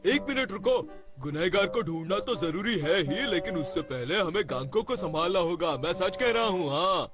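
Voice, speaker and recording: A man voicing a confident, helpful, excitable superhero pal in a dubbed cartoon, eager and a little bossy. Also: high-pitched, moderate pace. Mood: reassuring. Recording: loud music under the voice.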